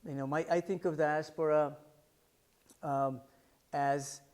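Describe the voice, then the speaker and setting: A man's voice: fairly high pitch, fairly even intonation, slow, darkish tone. A middle-aged man giving a talk about his photographs, thoughtful and searching for words, with frequent restarts and fillers. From United States. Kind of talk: artist talk presenting photographs. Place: library art center talk.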